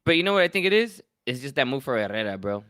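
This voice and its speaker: A man's voice: medium pitch, sing-song, very fast, fairly bright tone, clear, resonant tone, no room sound, clean studio sound. A man with a Southern California accent in casual, blunt, slangy fan banter about sports, swearing freely. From Carson, Los Angeles area, USA. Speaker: sports show co-host.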